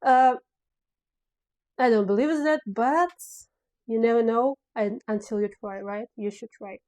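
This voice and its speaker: A woman's voice: a little high, a little slow, darkish tone. A woman with a Russian accent, a non-native English speaker, talking through her filmmaking plans in a casual video diary, hesitant and searching for words. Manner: hesitant, many fillers and restarts, searching for words. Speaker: filmmaker vlogger.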